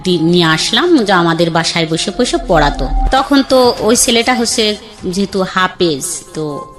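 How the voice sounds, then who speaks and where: A woman's voice: fairly low pitch, animated, unhurried, fairly bright tone. A woman in her thirties with a Bangladeshi colloquial accent, plainly telling her life story and acting out what others said. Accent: Bangladeshi colloquial Bengali. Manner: plain conversational, acts out quoted dialogue. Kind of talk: candid storytelling. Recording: faint background music.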